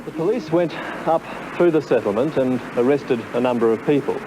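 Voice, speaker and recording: A man delivers an outdoor location report in a mid-pitched voice. Music plays clearly behind him. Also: brisk, low-quality recording.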